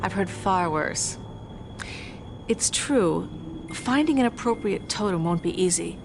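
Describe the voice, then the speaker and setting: A woman playing a gentle, composed advisor who gives cryptic counsel in a video game. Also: medium pitch, expressive, a little slow, gravelly, over music. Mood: calm, advising. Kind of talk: video game cutscene acting. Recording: noisy ambience behind the dialogue.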